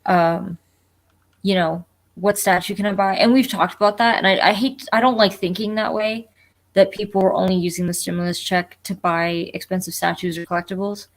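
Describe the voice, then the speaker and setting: A woman from Florida chats casually on a livestream video call, poking fun at herself as she goes. Her pitch sits in an ordinary middle range. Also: little pitch movement, normal speed. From Florida, United States. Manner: chatty, self-deprecating.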